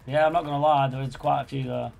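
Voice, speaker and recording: A man's voice: medium pitch, fast, soft background music. A man, chatting and laughing while streaming a shooter game. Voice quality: raspy. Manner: excitable, laughing, swearing. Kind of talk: casual gaming banter.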